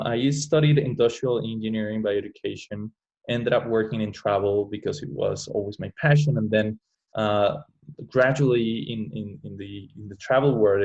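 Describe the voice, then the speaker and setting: A man from Colombia talking on a video call, halting and full of restarts. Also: fairly low pitch, gravelly, darkish tone. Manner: halting, many fillers and restarts. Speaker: guest speaker, travel company founder. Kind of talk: webinar talk. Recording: dull lo-fi audio.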